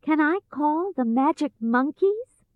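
A woman's voice: sits high, expressive, takes it slowly, dry recording, phone-quality sound, pitched above the performer's own voice. A woman voicing a brave, earnest young girl in a storybook, with an emphatic delivery. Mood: wondering.

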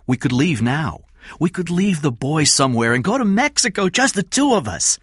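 A man voicing an anxious, determined young woman in an earnest, urgent, hushed manner. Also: on the high side, big pitch swings, normal speed, slightly rough, dry recording, studio quality, pitched above the performer's own voice, exaggerated voice. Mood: insistent.

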